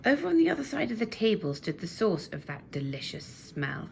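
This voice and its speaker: A woman narrating a children's story expressively, with deliberate, dramatic emphasis. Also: mid-range voice, sing-song, darkish tone. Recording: noisy amateur recording, clear background noise.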